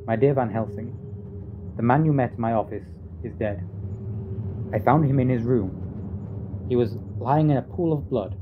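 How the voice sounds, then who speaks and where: A man's voice: mid-range voice, moderate pace, pauses often, narrow, tinny audio, background noise, close to an everyday voice. A man playing a grave doctor who speaks with solemn drama in a radio play. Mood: grave, shaken. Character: grave male doctor reporting horrors to his colleague.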